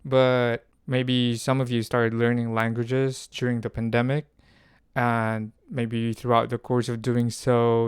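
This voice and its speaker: A man's voice: medium pitch, clean tone, no echo, clean studio sound. A young man from the Philippines talking to camera in a loose, rambling way about language learning, with many fillers and restarts. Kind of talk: unscripted stream-of-thought talk to camera.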